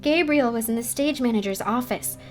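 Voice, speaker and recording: A high, girlish voice acted out by a woman reader for a timid, excitable young ballet dancer in a storybook. She chatters on with breathless, superstitious insistence, like an eager gossip, over soft background music. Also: moderate pace, lightly acted.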